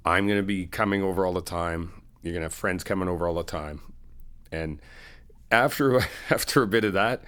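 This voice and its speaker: A middle-aged man in an ASMR friend roleplay, talking in an easygoing, reassuring, chatty way with soft laughs. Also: deep voice, normal speed. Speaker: ASMR roleplay creator playing a best friend.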